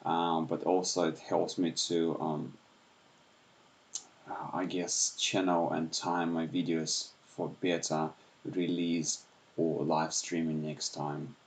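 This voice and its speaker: A man from Ukraine with a Russian accent, speaking softly and unscripted at home in the early morning, with many pauses and fillers. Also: low-pitched, flat delivery. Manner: speaks softly with frequent hesitations. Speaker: YouTuber. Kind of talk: unscripted livestream talk.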